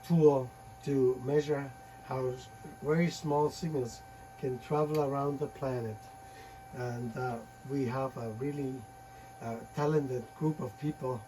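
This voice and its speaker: A man giving a technical conference talk from his slides, halting often with uh and restarting words. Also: mid-range voice, dark tone, a little hiss or hum, poor audio. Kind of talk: technical presentation, reading from slides.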